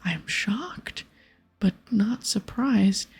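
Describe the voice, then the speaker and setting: A woman voicing a friendly, gossipy tavern innkeeper from a fantasy game in a breathy half-whisper. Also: medium pitch, slow, a little mellow, heavily acted. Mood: shocked, earnest.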